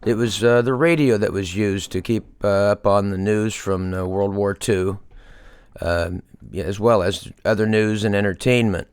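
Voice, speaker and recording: A man's voice: lowish voice, steady tone, moderate pace, smooth, dry recording, clean studio sound. A man giving a casual, unscripted show-and-tell as a hobbyist presenter, hesitantly thinking aloud as he goes. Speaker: hobbyist restorer presenting a project.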